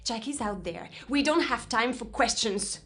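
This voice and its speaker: A woman's voice: on the high side, lively intonation, normal speed, fairly bright tone. A woman playing a defiant, headstrong survivor in an audio drama, speaking in an insistent, commanding way. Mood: urgent. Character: defiant, headstrong, loyal woman determined to rescue her friend.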